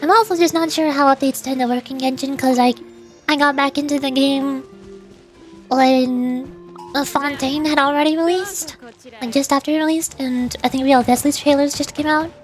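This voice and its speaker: Female game streamer, high voice, chatty and playful, casual live commentary over music. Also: steady tone, moderate pace. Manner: playful, chatty, with silly sound effects. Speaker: streamer playing a video game.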